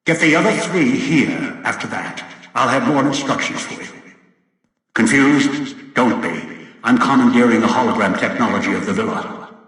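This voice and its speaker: An actor playing a cold, controlling old villain in a video game, speaking in a deliberate, commanding and menacing way. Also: male voice, mid-range voice, fairly bright tone, lightly acted. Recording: very echoey, distant, long reverb.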